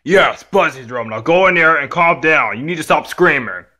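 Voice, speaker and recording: A man's voice: on the high side, animated, moderate pace, sharp tone, pitched below the performer's own voice. A man playing a stern, weary father who scolds and admonishes his young son in a homemade story. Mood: weary scolding.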